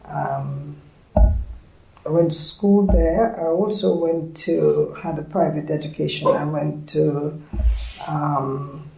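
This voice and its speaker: Slow-talking older Jamaican woman, gravelly voice. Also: low voice, fairly even intonation, dark tone, slight background noise. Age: 70s. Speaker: oral history interviewee. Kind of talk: reminiscing in an interview. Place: indoors at an arts centre. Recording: echoey room.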